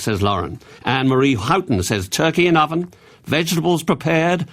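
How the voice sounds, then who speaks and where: A man's voice: on the high side, a bit bright. An English man in his sixties hosting a radio request show, chatting and reading out listeners' messages. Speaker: radio host.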